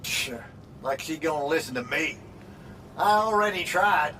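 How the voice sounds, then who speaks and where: High-voiced, folksy male ally. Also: lively intonation, unhurried, pushed and bright, slight background noise. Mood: resigned. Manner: colloquial, folksy, urgent. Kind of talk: video game voice acting.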